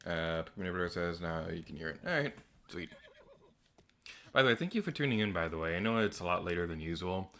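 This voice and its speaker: A man streaming a video game with a naturally quiet voice, chatting casually and a little self-deprecating as he plays. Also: sits low, expressive, a little fast. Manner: soft-spoken, rambling and self-deprecating. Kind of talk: casual gameplay commentary.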